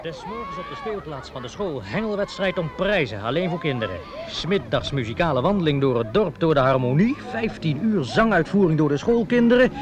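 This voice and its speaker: Cheeky village rogue, lively voice. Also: male voice, fairly high pitch, a little fast, a crowd in the background. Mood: mocking. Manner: mockingly polite, insolent. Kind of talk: radio play acting. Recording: dull, noisy old radio recording.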